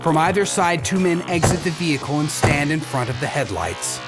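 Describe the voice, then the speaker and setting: A man's voice: on the high side, expressive, moderate pace, close to an everyday voice. A man narrating a scripted audio drama in a dramatic storytelling style. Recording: music under the voice.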